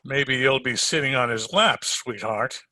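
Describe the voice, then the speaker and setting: A man's voice: medium pitch, measured pace, pushed and bright, dry recording. A man playing a wisecracking, sardonic private detective in an old-time radio drama, slangy and needling. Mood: teasing. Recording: home recording over video call.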